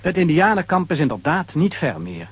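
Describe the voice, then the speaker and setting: Animated, husky male storyteller. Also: fairly high pitch, moderate pace, no room sound. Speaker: narrator. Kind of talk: dramatic storytelling narration for children.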